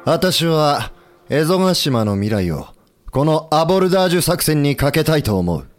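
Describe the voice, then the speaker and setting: An actor playing a resolute, Western-minded navy commander in a historical audio drama, speaking formally and persuasively with assurance. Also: male voice, fairly high pitch, big pitch swings, normal speed, faint music behind. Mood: resolute, commanding.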